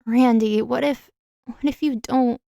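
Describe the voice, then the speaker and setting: A woman's voice: a little high, fairly even intonation, unhurried, gravelly, dark tone, no echo, studio quality. A woman playing a fragile, desperate husband in a fan fiction audio drama, with a pleading, faltering delivery. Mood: fearful pleading. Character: desperate, tearful, clingy young husband, fragile man.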